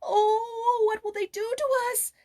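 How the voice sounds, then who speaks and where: A woman voicing a timid, anxious young goatherd boy in a storybook, high and plaintive, with a tearful, gasping manner. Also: little pitch movement, a little slow, dark tone. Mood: frightened, tearful.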